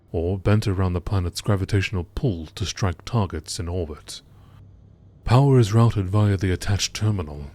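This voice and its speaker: A man reading scripted lore in a measured, formal documentary narration style. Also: deep voice, a little mellow.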